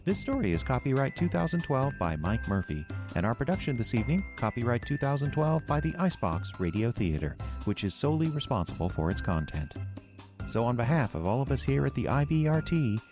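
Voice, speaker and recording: A man's voice: medium pitch, big pitch swings, fairly quick, music in the background. A man reading scripted closing credits as the announcer of a radio drama.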